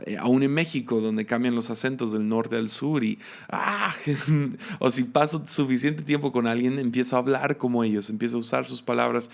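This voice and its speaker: A man with a Mexican accent giving a reflective devotional teaching, musing aloud with restarts and soft laughs. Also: medium pitch, vocal fry. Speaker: preacher.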